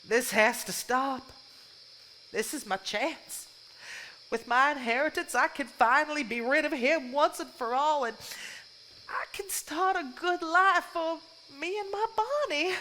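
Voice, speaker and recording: Actress doing fed up southern wife, high voice, live play, bit of background noise. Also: female voice, fairly bright tone. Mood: bitter, resolute. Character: weary unhappy 1950s Southern wife and mother. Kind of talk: live stage acting. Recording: live stage recording, background hiss and ambience.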